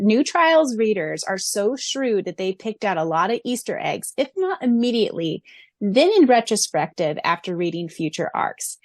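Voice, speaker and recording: A woman's voice: medium pitch, no room sound. A woman co-hosting a fan podcast who also narrates its audiobook, gushing and giggly as she chats and reads. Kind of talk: casual fan podcast chat.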